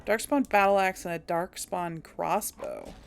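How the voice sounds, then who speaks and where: A woman's voice: lowish voice, a little slow, vocal fry, slight background noise. A woman hosting a casual let's play, thinking aloud and chuckling often. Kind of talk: casual gameplay commentary.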